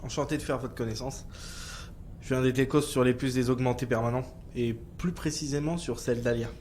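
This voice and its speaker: A man's voice: mid-range voice, steady tone, fairly quick, darkish tone, a little hiss or hum, natural voice. Laid-back, slangy young-at-heart techie guy acted. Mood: breezy, irreverent. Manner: casual, slangy. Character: laid-back, irreverent male tech geek. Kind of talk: audio drama acting.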